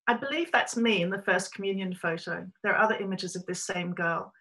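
A woman's voice: medium pitch, brisk, bright, forceful tone. Reflective woman telling her story. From Australia. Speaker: writer giving an online talk. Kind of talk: prepared talk to camera. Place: small room indoors.

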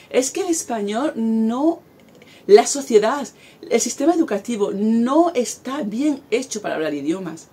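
A woman's voice: mid-range voice, dark tone, a little hiss or hum. A woman in her forties from Spain with a Spanish accent, talking animatedly to camera outdoors in a garden and gesturing as she speaks. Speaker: vlogger. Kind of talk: casual talk to camera.

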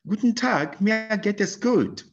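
A man voicing a 65-year-old married German woman, giving slow, halting textbook answers with many fillers. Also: sits high, animated, lo-fi sound, faint music behind, voice pushed up, full character voice. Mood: answering politely.

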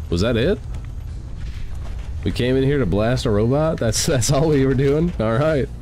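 A man's voice: medium pitch, moderate pace, darkish tone, slight background noise. A young man with a stuffy nose from a cold, chatting casually as he plays a game and reads its text aloud at a desk mic at home. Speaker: gaming streamer. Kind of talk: casual let's-play commentary.

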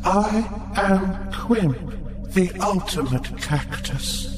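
An actor playing a monstrous alien cactus overlord in a sci-fi comedy, menacing and grandiose, with a portentous, imperious delivery. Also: male voice, high-pitched, animated, very slow, reverberant, crowd noise, exaggerated voice. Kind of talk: sci-fi comedy villain acting.

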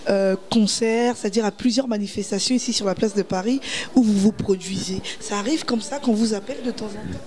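A woman interviewer with formal, measured phrasing and an occasional hesitation. Her voice is at a middle pitch and steady. She speaks into a handheld mic on a small indoor set. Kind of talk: interview questions.

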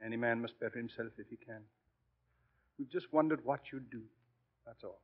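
Actor as gentle fatherly priest, dull old radio. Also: mid-range voice, normal speed, lightly acted. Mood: gentle, sincere. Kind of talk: 1940s radio acting. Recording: dull 1940s radio broadcast.

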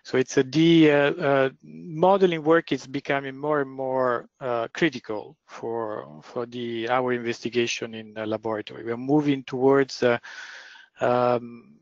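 A man speaks into a computer mic on an online call, and the audio comes through dull and dry. His voice is loud and mid-pitched. He lectures haltingly, filling every gap with "uh" and starting sentences over. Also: steady tone, dry recording. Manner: hesitant, many fillers and restarts. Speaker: guest lecturer, process engineer. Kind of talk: webinar presentation.

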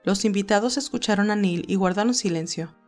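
A woman's voice: medium pitch, a little fast, darkish tone, faint music behind. A woman reading scripted narration of a romantic story.

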